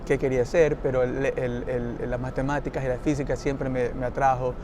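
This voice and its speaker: A man's voice: mid-range voice, level tone, a little fast, noisy background. A man with an Ecuadorian Spanish accent, an engineer in a roundtable conversation, who talks with many restarts and laughs easily. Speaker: NASA engineer.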